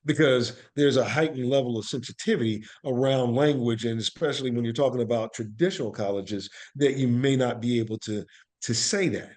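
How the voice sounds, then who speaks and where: A man speaking as an interview guest, telling stories conversationally in deliberate, emphatic short phrases. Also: medium pitch, darkish tone. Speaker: podcast guest, actor and playwright.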